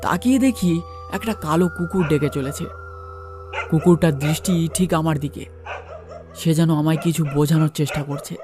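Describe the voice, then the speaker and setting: A man's voice: fairly high pitch, normal speed, pauses often, over music. A man narrating a first-person horror story and acting out its dialogue.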